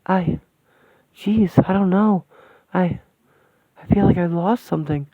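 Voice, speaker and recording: A man's voice: high-pitched, unhurried, no echo, voice pushed up. A man voicing a young woman who is hesitant and shaken, often stammering. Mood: confused. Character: grieving young woman seeker, earnest and shaken. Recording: dry tinny phone-like sound.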